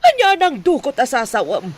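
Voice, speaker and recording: A woman's voice: high voice, huge pitch swings, moderate pace, full character voice. A woman playing a distraught, commanding rich mother in an Ilocano radio drama, with an Ilocano accent. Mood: bitter.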